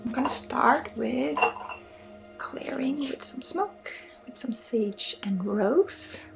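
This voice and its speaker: A native Swedish woman guides a spiritual ASMR session with a fairly high, creaky voice. She drifts between soft speech and whispering, blunt and casual with halting asides. Music plays clearly behind her. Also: lively intonation. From Sweden. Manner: slips often between soft voice and breathy whisper. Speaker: spiritual healer and ASMR host. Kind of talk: ASMR energy healing, intimate guided talk.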